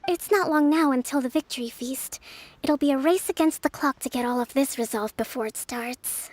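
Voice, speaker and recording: A woman voicing a gentle young leader in a video game, speaking in a measured, thoughtful and formal way. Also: sits high, slight background noise, close to an everyday voice. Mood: composed. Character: gentle, composed young female leader and strategist. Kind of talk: video game character voice acting.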